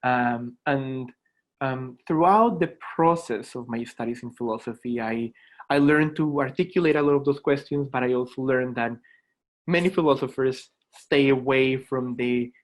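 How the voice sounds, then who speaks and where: A man in his thirties from Colombia, a native Spanish speaker with a Colombian accent, introducing himself to students as a lecturer in a reflective, halting way. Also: medium pitch, low-quality recording. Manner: reflective, halting, with repeated words. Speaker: adjunct philosophy lecturer. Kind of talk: informal self-introduction to students.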